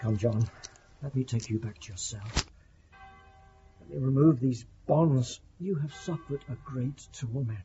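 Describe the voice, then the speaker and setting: An actor playing a compassionate, devout Victorian prison chaplain in a period radio drama, solemn and impassioned. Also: male voice, mid-range voice, measured pace, mellow, slight background noise, close to an everyday voice. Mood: indignant, tender. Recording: dull lo-fi audio, music underneath.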